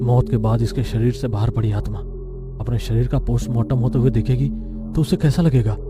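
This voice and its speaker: A young morgue assistant, grave and eerily fearless, played by a man. He speaks solemnly and insistently at a middle pitch in a horror audio drama, with music clearly behind him. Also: steady tone, a little fast, close to an everyday voice. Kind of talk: acted horror audio story dialogue.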